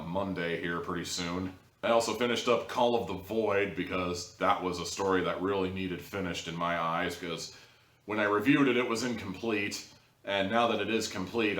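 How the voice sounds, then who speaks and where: A man's voice: low-pitched, a bit bright. A man giving a casual, humorous review to viewers, rambling along with wry asides and mock-dramatic emphasis. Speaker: audio drama reviewer, show host. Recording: roomy echo, distant mic.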